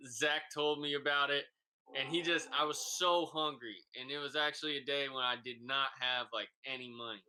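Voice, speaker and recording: A man hosting a casual podcast, excitable and hyping up his guest. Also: mid-range voice, fairly quick, clean tone, low-quality recording. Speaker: podcast host.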